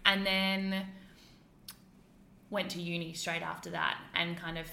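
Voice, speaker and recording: A woman's voice: fairly low pitch, level tone, measured pace, vocal fry, bright, forceful tone, echoey room. A young woman from the UK with a mixed British and Australian accent, chatting openly as a podcast guest with frequent fillers and laughs. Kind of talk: casual interview chat.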